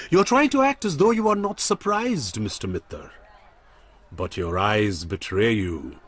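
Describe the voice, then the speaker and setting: A menacing radio drama villain with an Indian accent and a husky voice. His pitch swoops dramatically as he offers mock courtesy, pausing to taunt with smug relish. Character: menacing, smug male crime boss with theatrical courtesy.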